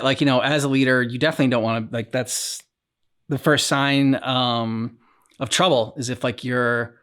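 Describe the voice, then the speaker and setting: A man with a mid-range voice chats casually as a reaction show host. He thinks aloud in a halting, meandering way. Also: mid-range voice, fairly bright tone. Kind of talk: casual discussion.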